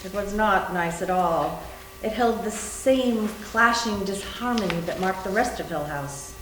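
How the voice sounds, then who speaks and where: A woman's voice: mid-range voice, measured pace. A woman reading scripted story narration in a readers theater performance. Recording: roomy echo, faint hum.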